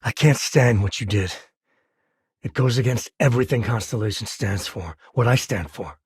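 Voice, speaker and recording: A man voicing a rugged, folksy spacefaring cowboy and protective father in a video game, with an American accent, husky rasp and wry, informal manner. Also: on the low side, normal speed, dry recording, studio quality. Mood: disappointed, bitter. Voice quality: husky, rasping. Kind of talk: video game character dialogue.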